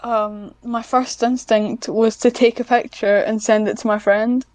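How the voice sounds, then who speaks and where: A woman's voice: a little high, flat delivery, measured pace, a little mellow, dry recording. A woman chatting casually as an interview guest, speaking in a hesitant, self-conscious way with frequent restarts. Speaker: podcast guest, scriptwriter.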